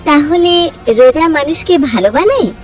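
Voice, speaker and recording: A woman's voice: high-pitched, lively intonation, normal speed, far from the mic, faint music behind. A woman playing a curious, earnest young sister in a devout family cartoon story. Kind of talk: scripted cartoon story dialogue.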